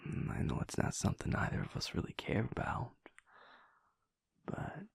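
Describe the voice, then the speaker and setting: A young man playing an affectionate, cocky werewolf boyfriend in an intimate audio roleplay, casual and sweary, with a husky, hushed voice. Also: moderate pace, dry recording, close to an everyday voice. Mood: hesitant, earnest. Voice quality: husky, breathy, often near a whisper. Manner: casual, swearing, chuckling, trailing hesitations.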